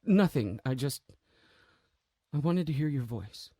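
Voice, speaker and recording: An actor playing a grieving, guilt-ridden man in an audio drama, speaking in a halting, hushed, earnest way with a husky voice. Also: medium pitch, expressive, no echo, clean studio sound. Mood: tender, subdued.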